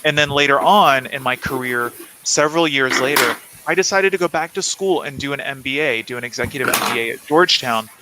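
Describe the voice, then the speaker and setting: A man from South Carolina speaks as a guest author in an interview. His voice sits at a medium pitch and his delivery is explanatory and conversational. Clear background noise runs under the recording. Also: fairly quick. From South Carolina, United States.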